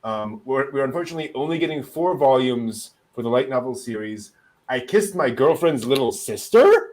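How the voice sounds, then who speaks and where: A man's voice: medium pitch, expressive, normal speed, a little mellow, low-quality recording. A man hosting a casual anime news show, chatting and joking with a wry, amused delivery.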